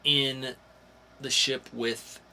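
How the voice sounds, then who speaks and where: A man's voice: medium pitch, little pitch movement, extremely slow, pushed and bright, slight background noise. A man co-hosting a podcast, chatting casually and enthusiastically about entertainment news in an informal fan's way. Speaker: podcast co-host.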